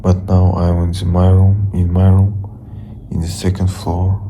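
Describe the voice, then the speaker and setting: Young russian-accented guy in his bedroom, halting, keeps restarting words, low voice. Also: completely flat delivery, dark tone, slight background noise. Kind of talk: casual storytelling to camera.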